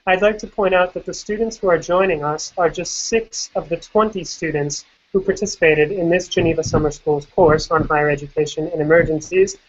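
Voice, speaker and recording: Lower-pitched woman moderating a panel. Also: fairly even intonation, fairly quick, hardly any pauses. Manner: polite, organized, formal. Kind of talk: hosting a panel. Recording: slightly muffled recording.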